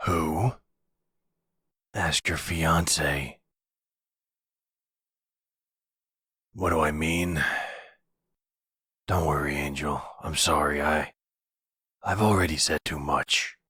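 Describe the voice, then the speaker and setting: A man acts out a middle-aged mafia father in exile for an audio roleplay. His voice is extremely low and very raspy, and he speaks slowly, brooding and resigned, with bitterness under the weariness. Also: fairly even intonation, bright, forceful tone, dry recording, clean studio sound, full character voice. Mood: accusing. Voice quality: very deep, gravelly, husky. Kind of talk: ASMR audio roleplay drama.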